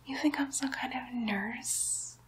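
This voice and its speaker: A woman's voice: high-pitched, slight background noise. A woman voicing a mischievous young demon girl posing as a nurse, whispering coaxingly and teasingly in an ASMR roleplay. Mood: gleeful, mocking. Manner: slow, coaxing, teasing whisper with playful baby talk.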